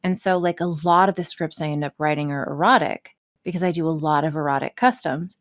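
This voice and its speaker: A woman's voice: low-pitched, a little fast. A woman chatting casually as a podcast guest, candid, enthusiastic and free with swearing. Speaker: podcast guest, audio script writer and performer.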